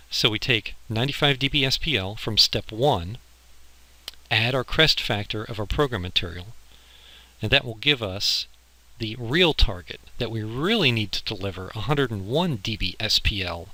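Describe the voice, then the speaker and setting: A man with a lowish, very bright and tense-sounding voice delivers a slide lecture. He explains things informally, one step at a time, as an instructor would to students. The amateur recording has a harsh edge. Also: low-quality recording. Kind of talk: slide lecture on audio engineering.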